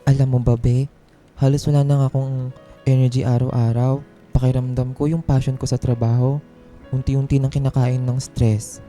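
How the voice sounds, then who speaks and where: Man acting a very mellow, weary Filipino office worker. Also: mid-range voice, level tone, normal speed, lightly acted. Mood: weary, worried. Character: weary, stressed young male office worker confiding in a friend. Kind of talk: radio drama dialogue. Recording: music bed under dialogue.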